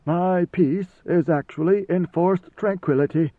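A man voicing an ancient, godlike ruler who speaks in a calm, knowing, lordly and deliberate way, as in an audiobook. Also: high-pitched, pitched above the performer's own voice. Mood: wry, imperious. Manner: measured, deliberate, formal. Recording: slightly roomy, phone-like narrow sound.

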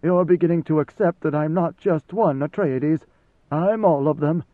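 A man voicing an ancient, godlike ruler who speaks in a calm, knowing, lordly and deliberate way, as in an audiobook. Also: fairly high pitch, pitched above the performer's own voice, natural voice. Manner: measured, deliberate, formal. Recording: slightly roomy, phone-like narrow sound.

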